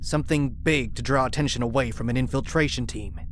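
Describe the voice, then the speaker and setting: A man's voice: mid-range voice, brisk, fairly bright tone, natural voice. A man playing a stern, steadfast resistance commander with a firm, authoritative delivery. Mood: businesslike. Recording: faint background noise.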